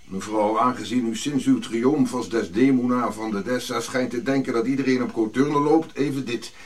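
A man's voice: medium pitch, moderate pace, lightly acted. An older man playing a bitter, imperious African prince on Java in a radio drama, speaking formally with caustic haughtiness. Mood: caustic, cold.

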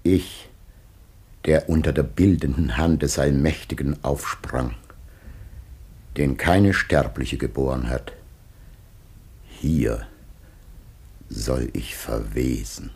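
A man's voice: takes it slowly, long pauses, a little mellow, exaggerated voice. An actor playing a dying old patriarch and father in a classic German radio drama, declaiming solemnly and weightily. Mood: anguished, solemn. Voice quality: very deep, husky.

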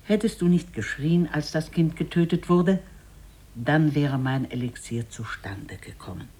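A storyteller voicing a solemn old sage from a Chinese tale, instructing in a measured, grave manner. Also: mid-range voice, a little mellow, lightly acted. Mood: grave disappointment.

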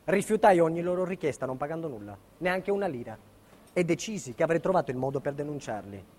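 A man's voice: a little high, lively intonation, fast talker, a little mellow. A man playing a defiant, indignant building contractor, delivering an emphatic theatrical monologue about his ordeal. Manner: emphatic, dramatic storytelling. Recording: reverberant hall with long echo.

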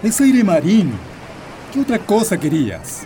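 Actor as brusque Chilean husband, dismissive, lively intonation, radio drama, noisy outdoor ambience. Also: male voice, high voice, moderate pace, some chatter behind. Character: curt, dismissive husband Don Manuel, unbothered and restless. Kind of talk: Spanish-language radio drama acting.

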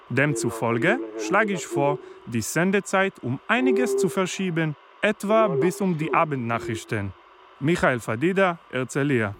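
An actor playing a formal, courteous male listener reading out his polite letter of complaint in a radio play. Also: a little high.

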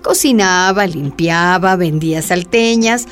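A woman narrating a scripted radio drama in a measured storytelling manner. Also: on the low side, faint music behind.

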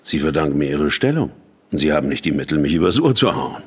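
A man's voice: rumbling low, sing-song, fast talker, slightly rough, full character voice. An actor playing an imperious, condescending male company boss in a radio drama, speaking in a commanding, pointed way. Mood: cold, commanding.

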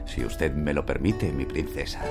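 An actor playing a playful, devoted but insecure boyfriend in a Spanish radio drama, with a Castilian accent and colloquial, naturalistic speech. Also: male voice, rumbling low, normal speed, gravelly, over loud music.

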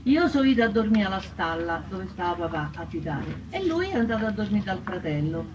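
A woman's voice: mid-range voice, very animated, brisk, noisy background, close to an everyday voice. An actress playing an embittered working-class Roman wife, telling her testimony in colloquial, emphatic dialect with repeated words. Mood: aggrieved, weary. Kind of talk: theatrical first-person testimony. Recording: dull, distant stage sound with music.

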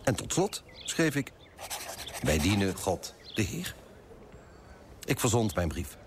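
Smug moralising merchant, pompous, swooping intonation, radio play, clear noise behind. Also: male voice, fairly low pitch, big pitch swings, takes it slowly. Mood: smug, scheming. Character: pompous, self-righteous, penny-pinching Dutch coffee broker. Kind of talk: radio drama monologue.

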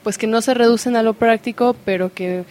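A woman's voice: a little high, fairly even intonation, fairly quick, a little mellow. A woman speaking in a radio discussion, hesitant and full of fillers as she thinks aloud.

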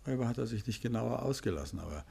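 An actor playing a composed, courteous gentleman detective in a 1950s German radio play, speaking thoughtfully with a husky voice. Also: medium pitch, lively intonation, rapid-fire, full character voice. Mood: serious, probing. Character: composed, probing gentleman detective, husband of his companion.

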